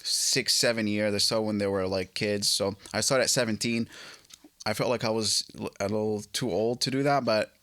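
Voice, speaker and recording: A man from Egypt in his late thirties, a guest telling his story in a casual interview, halting, with many fillers and restarts. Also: on the low side, fairly even intonation, a bit bright, no room sound. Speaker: guest guitarist.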